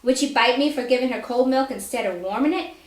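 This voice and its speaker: Woman doing a dramatic story reading, kinda high voice, roomy echoey sound. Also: fast talker. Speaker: author reading own fiction aloud. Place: bookstore reading, indoors. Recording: echoey room live reading.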